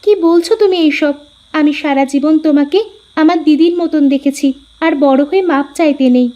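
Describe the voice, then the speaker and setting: A woman's voice: high voice, steady tone, a little fast, mellow, natural voice. A woman voicing a dutiful, proud young village daughter-in-law in a cartoon story, on a dull recording. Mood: gentle. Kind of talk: Bengali cartoon dialogue.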